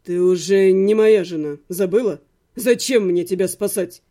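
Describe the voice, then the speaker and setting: A woman's voice: mid-range voice, moderate pace, mellow, pitched below the performer's own voice, lightly acted. A woman narrator portrays a mocking ex-husband in a fantasy audiobook. His voice sits well below hers and sounds wry and detached. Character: cool, mocking male ex-husband.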